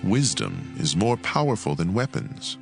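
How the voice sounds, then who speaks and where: Deep, creaky-voiced man narrating scripture. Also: wildly swooping pitch, measured pace, music in the background. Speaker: audio Bible narrator.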